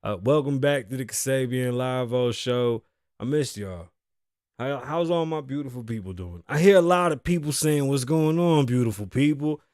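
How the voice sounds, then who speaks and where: A man in his thirties with a hoarse voice, hosting a casual podcast monologue from a show set, loose and profane, joking and tossing asides to his crew. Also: medium pitch, normal speed, a little mellow, dry recording, clean studio sound. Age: 30s. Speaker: host. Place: show set in Las Vegas.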